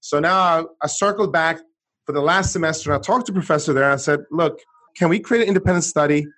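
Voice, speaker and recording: Middle-aged man from Sweden, guest author, lively voice on the higher side, restarts a lot. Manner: animated storytelling, frequent restarts. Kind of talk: conversational interview.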